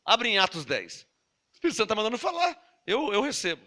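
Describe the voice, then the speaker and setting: Brazilian man, preaching, very high voice, piercing bright tone, emphatic rhetorical questions. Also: huge pitch swings, quick pace. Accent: Brazilian Portuguese. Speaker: pastor. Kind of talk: sermon.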